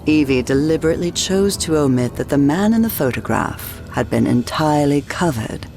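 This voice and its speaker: An actor playing a grave, measured storyteller narrating a video game. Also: male voice, on the high side, animated, a little mellow.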